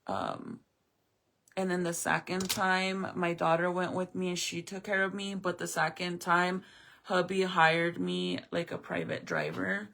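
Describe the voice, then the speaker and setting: A woman in her forties chatting loosely with her live audience, blunt and full of swearing. Her voice is bright and tense, with a gravelly fry at the bottom of it. Her first language is Spanish. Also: on the low side, flat delivery, a little slow, slight background noise. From American Southwest. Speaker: live streamer. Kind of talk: casual chat answering viewers.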